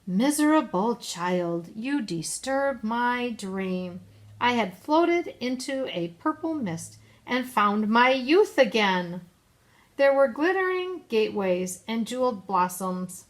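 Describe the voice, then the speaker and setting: A woman's voice: a little high, lively intonation, slow pace, pitched above the performer's own voice. A woman voicing a grumpy, wistful old man in a storybook, speaking as if half asleep. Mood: irritated. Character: grumpy, dreamy old man roused from sleep.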